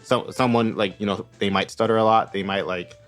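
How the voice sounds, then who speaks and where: A man co-hosting a roleplay podcast, talking in a rapid, rambling way and correcting himself as he goes. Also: fairly low pitch, fairly even intonation, faint music behind. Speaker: podcast co-host. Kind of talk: casual roleplay podcast discussion.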